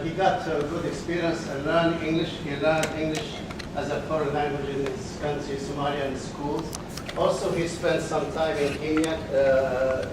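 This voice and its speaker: Male presenter, slightly high voice, hesitant delivery, distant mic in a reverberant hall. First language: Arabic. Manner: halting, frequent filler pauses. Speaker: conference presenter. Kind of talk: academic research presentation. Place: conference hall. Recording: echoey hall, distant mic, background noise.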